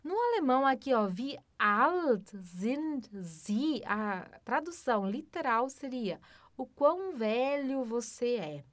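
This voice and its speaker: A Brazilian woman, around her thirties, teaches German with patient explanations and lots of quick check-ins with the listener. Her fairly high voice moves up and down a lot. The recording is dry and close, with no room sound. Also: big pitch swings, no room sound. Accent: Brazilian Portuguese. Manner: explains patiently, frequent tag questions, slow careful German examples. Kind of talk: language lesson.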